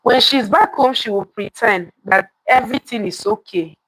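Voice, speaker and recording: A woman's voice: mid-range voice, normal speed, a bit bright, no room sound, poor audio. A woman telling a folktale live, repeating lines for emphasis and putting questions to her listeners. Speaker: live storytelling host. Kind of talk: live interactive folktale storytelling, addressing viewers.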